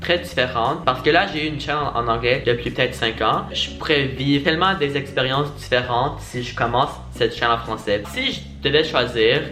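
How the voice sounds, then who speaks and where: A man's voice: medium pitch, moderate pace, few pauses, sharp tone, roomy sound, soft background music. A young man with a Québécois French accent, chatting casually and joking about himself in a vlog. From Quebec, Canada. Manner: chatty, self-mocking, laughs often. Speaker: YouTuber.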